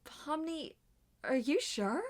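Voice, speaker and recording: Woman, ASMR roleplay lead; slow, gentle delivery, higher voice, moves between whisper and soft speech. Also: animated. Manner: soft-spoken, drifts between whispers and normal voice. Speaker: ASMR roleplay creator voicing all characters. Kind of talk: multi-character ASMR roleplay.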